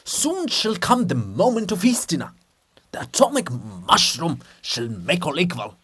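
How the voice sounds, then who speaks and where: A man voicing a taunting, grandiose prophet of doom, declaiming loudly in a dramatic play reading. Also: big pitch swings, slightly rough, dry recording, heavily acted. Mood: grandiose menace. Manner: declamatory, booming, drawn-out.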